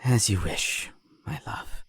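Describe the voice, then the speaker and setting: A man's voice: slow, a bit bright, in a whisper. A man voicing a wise, devoted husband who speaks in a solemn, tender and formal manner in a fan drama dub. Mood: fond. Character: devoted, wise, consoling long-lived husband. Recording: music bed under the voice.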